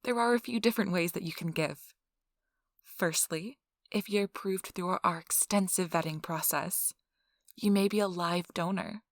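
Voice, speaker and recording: A woman's voice: lowish voice, moderate pace, dry recording, studio quality, lightly acted. A woman playing a cheerful, earnest donor recruiter in an ASMR role-play, with a polite, reassuring customer-service manner. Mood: upbeat, reassuring. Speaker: donor acquisition interviewer.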